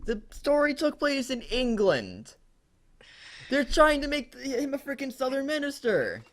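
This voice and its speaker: A man's voice: very high-pitched, wildly swooping pitch, measured pace, fairly bright tone, slight background noise. A young American man co-hosting a comedic story reading, chatting casually in an incredulous, sarcastic way and swearing freely. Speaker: co-host of a comedic read-aloud show. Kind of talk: casual chat, mocking commentary on a story.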